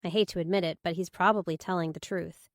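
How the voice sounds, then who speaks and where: A woman's voice: lowish voice, steady tone, fast, dry recording. A woman reading scripted first-person thriller narration as an audiobook narrator.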